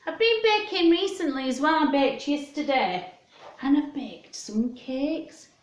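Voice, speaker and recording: A woman's voice: high voice, expressive, measured pace, slight background noise. A woman hosting storytime for young children in a sing-song, questioning manner, chatting and reading aloud. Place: indoors at home. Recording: echoey home room.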